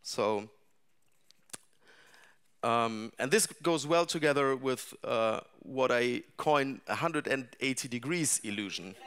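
A middle-aged man giving a talk about game design to a seated audience in a dark seminar room, thinking aloud with frequent restarts and fillers. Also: medium pitch, slow pace, smooth.

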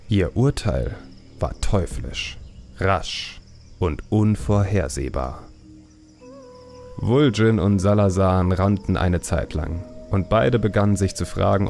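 Slow, deep, gravelly male storyteller. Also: darkish tone. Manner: measured, deliberate storytelling. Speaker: audiobook narrator. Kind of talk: slow dramatic scripted fantasy narration.

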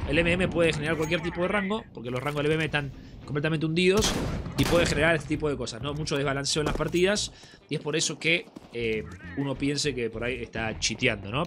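A man's voice: fairly high pitch, noisy background. A young man with a Rioplatense Spanish accent, congested from a cold, chatting casually with viewers while commenting on a game replay. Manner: chatty, addresses viewers as friends. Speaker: gaming streamer. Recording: game music and gunfire behind.

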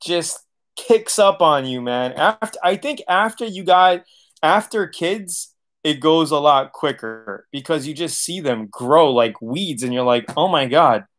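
Late-30s male host, loose and chatty, laughs easily, a touch high-pitched; home webcam livestream. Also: animated, unhurried, a bit bright. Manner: loose, chatty, laughs often, swears casually. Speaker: auto body channel host. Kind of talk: casual livestream Q&A reading chat. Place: living room, seated on leather couch.

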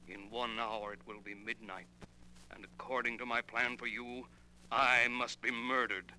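A man in a 1940s radio drama playing a coldly vengeful man, speaking deliberately and with menace. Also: medium pitch, flat delivery, bright, forceful tone. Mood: cold, menacing. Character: vengeful dead man coldly reading out his plan. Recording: noisy, humming old radio recording.

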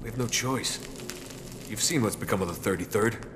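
Actor as commanding American army captain, reverberant. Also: male voice, on the low side, moderate pace, noisy background, close to an everyday voice. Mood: grim resolve. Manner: commanding, terse. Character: resolute, foul-mouthed American army captain leading a squad. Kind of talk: dramatic video game acting. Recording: long reverb, loud music behind.

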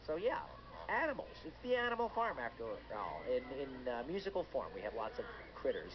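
Animated male radio host, muffled noisy sound. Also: mid-range voice, fairly quick. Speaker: children's radio show host. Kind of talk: casual radio presenting. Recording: muffled, noisy re-recording off a speaker.

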